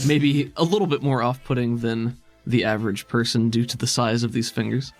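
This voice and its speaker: A man's voice: mid-range voice, fairly even intonation, a little fast, darkish tone, soft background music. A man narrating a tabletop roleplaying game as game master, in a casual, descriptive way.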